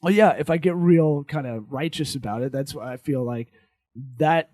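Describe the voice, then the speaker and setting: A man hosting a podcast in casual chat, blunt and profane, joking and talking loud. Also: fairly high pitch, normal speed, no echo, clean studio sound. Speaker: podcast host. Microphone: studio microphone.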